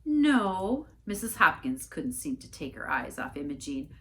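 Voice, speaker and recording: A woman reading a storybook aloud in an expressive narrating style. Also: very deep voice, moderate pace, gravelly. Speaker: story reader, church staff member.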